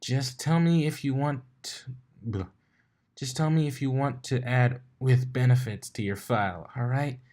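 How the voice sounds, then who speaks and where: A man's voice: medium pitch, measured pace, darkish tone, pitched above the performer's own voice, close to an everyday voice. A man voicing a teasing, laid-back female unicorn pony DJ in a fan fiction reading. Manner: nosy, playful teasing. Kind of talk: acted fan fiction dialogue.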